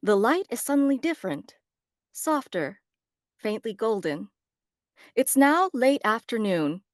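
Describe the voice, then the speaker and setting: Casting: woman narrator for script read, medium register, expressive range, music underneath. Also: a little high, a little slow, no echo, clean studio sound. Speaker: narrator reading stage directions.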